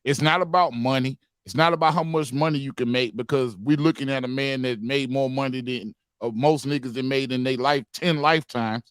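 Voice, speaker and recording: A man's voice: medium pitch, a little fast, few pauses, clear, resonant tone, no room sound, clean studio sound. A man in his forties talking earnestly in a heated group podcast discussion, repeating phrases for emphasis. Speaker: podcast panelist.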